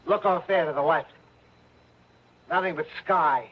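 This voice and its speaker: A man's voice: a little high, measured pace, natural voice. An actor playing a protective, increasingly helpless man in an old-time radio drama, with an earnest, urgent manner. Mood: grim, wary. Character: steady, protective man turning helpless, trapped fugitive. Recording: muffled old radio recording, faint noise.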